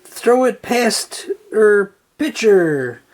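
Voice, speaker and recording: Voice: mid-range voice, sing-song, very slow, pitched above the performer's own voice. A storybook narrator voicing a bossy, crabby little girl with a mocking, teasing manner. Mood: heckling.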